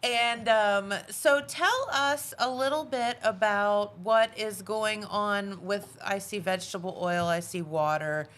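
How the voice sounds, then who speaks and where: Bright-voiced chatty woman host. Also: medium pitch, slow, few pauses. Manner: chatty, playful teasing. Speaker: morning show host. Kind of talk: casual TV studio chat. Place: TV studio.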